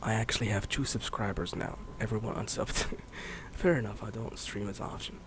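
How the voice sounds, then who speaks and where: A man in his late twenties, a streamer rambling in a soft-spoken, candid way, swearing casually and slipping into whispers. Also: fairly low pitch, steady tone, normal speed, noisy background. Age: 20s. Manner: candid, swears casually, many fillers and restarts; drifts between soft speech and whisper.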